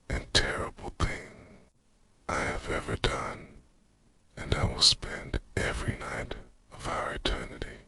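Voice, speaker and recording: A man playing a centuries-old vampire lover, obsessive and guilt-ridden, in an intimate ASMR roleplay monologue, speaking in a breathy, gravelly half-whisper with a dramatic, confessional delivery. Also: rumbling low, a little mellow, exaggerated voice. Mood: devoted, sorrowful.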